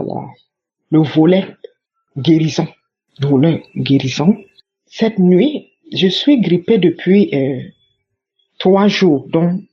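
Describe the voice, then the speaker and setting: A woman tells her religious testimony with fervent conviction, circling back and repeating phrases to press them home. Her voice is husky, and the recording sounds dull and lo-fi. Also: deep voice, warm tone. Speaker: church member giving testimony.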